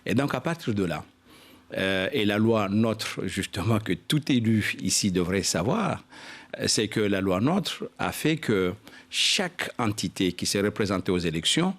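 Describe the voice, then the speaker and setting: Male interview guest, local politician, lower-pitched voice, formal register, halting and explanatory phrasing. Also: lively intonation, a bit bright. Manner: halting, repeats "je dirais", explanatory.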